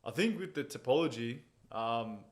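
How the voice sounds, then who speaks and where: A man speaking at an ordinary middle pitch, relaxed and chatty as he talks through his fights and his childhood. He tells his stories loosely and easily, chuckling now and then at his own jokes. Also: normal speed. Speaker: MMA fighter, interview guest. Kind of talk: casual interview chat.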